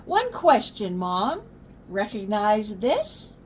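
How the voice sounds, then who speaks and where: A woman's voice: mid-range voice, huge pitch swings, takes it slowly, slight background noise. An older woman voicing a curious, theatrical little girl who plays detective in a storybook. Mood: suspicious, upset. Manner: theatrical, emphatic. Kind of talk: storybook read-aloud.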